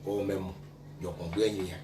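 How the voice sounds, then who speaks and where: A man's voice: low-pitched, little pitch movement, fast, dark tone. A man from western Ivory Coast, a guest giving an address in short, repeated phrases. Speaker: guest on a tribute show. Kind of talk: address in a local language. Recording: echoey, noisy room.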